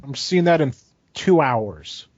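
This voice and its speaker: A man's voice: medium pitch, animated, unhurried, a little mellow, dry recording. A man on a podcast panel chatting casually about films and shows. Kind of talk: casual film discussion. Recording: dull lo-fi voice chat.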